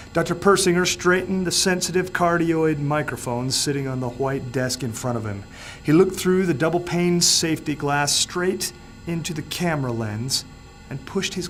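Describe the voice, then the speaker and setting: A man reading his own story aloud in a measured, suspenseful storytelling manner. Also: a little high. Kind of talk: dramatic audiobook narration.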